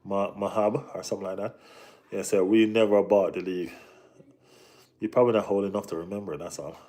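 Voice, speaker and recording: A man's voice: fairly low pitch, steady tone, brisk, warm tone. A Jamaican man hosting a casual football live stream, reading and answering chat, often saying "you know what I mean".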